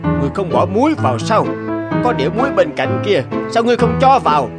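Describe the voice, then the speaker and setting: A man's voice: high voice, big pitch swings, quick pace, over loud music. A man voicing a pompous, corrupt and bossy mandarin judge in a children's fairy-tale cartoon. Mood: irritated, commanding. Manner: pompous, commanding.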